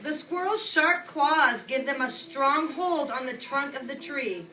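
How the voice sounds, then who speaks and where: Woman, preschool teacher, high voice, read-aloud style, simple repeated phrasing, distant mic, clearly noisy background. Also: expressive, a little slow, pushed and bright, roomy sound. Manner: simple, instructive phrasing, often repeating key words. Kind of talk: read-aloud to young children with questions and asides. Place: indoor classroom with young children.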